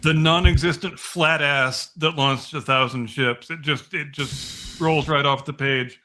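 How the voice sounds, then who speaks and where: Male co-host, highish bright voice, sarcastic riffing banter. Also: fairly even intonation, unhurried. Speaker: podcast co-host. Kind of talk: casual comedic banter.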